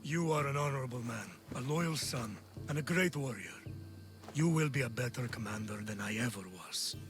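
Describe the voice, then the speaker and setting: A man voices an older Spartan general for a video game, stern but honorable. He speaks at a middling pitch with solemn, measured authority, over faint music. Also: close to an everyday voice. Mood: encouraging. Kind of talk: dramatic video game acting.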